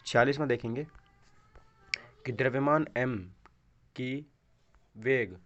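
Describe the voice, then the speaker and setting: A man's voice: mid-range voice, slow, a bit bright, faint music behind. A man teaching in an instructional style, going through quiz questions and repeating answers with short check questions. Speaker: exam coaching teacher. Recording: dull, lo-fi microphone sound.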